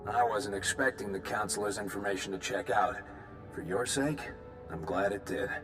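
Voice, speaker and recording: An actor playing a skeptical military captain in a video game, giving a brusque, matter-of-fact briefing. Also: male voice, fairly low pitch, moderate pace, lightly acted. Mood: brisk, skeptical. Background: game music.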